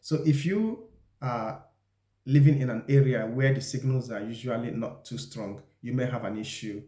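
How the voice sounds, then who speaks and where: A man presenting an instructional talk, explaining things deliberately and speaking straight to the viewer. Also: medium pitch, warm tone. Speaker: video presenter giving job interview advice. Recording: echoey, roomy sound.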